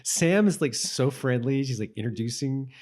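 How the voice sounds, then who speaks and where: A man co-hosting a casual TV rewatch podcast, talking in a halting way and repeating words as he thinks. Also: medium pitch, expressive, a little mellow. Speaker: podcast co-host.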